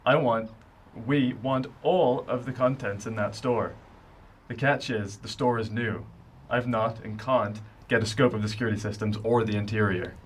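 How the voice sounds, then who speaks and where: A man acting in a radio drama as a taunting, persuasive criminal ringleader with a husky voice and theatrical delivery. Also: medium pitch, fairly even intonation, moderate pace, lightly acted. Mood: commanding. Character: smooth-talking, menacing male criminal ringleader, needling and bossy.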